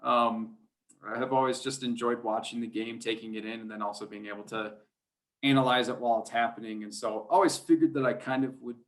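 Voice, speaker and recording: A man's voice: medium pitch, fairly even intonation, fairly quick, a bit bright. A man who works as a sports announcer, talking casually as a guest in a hesitant, rambling, good-humored way. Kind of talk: casual chat.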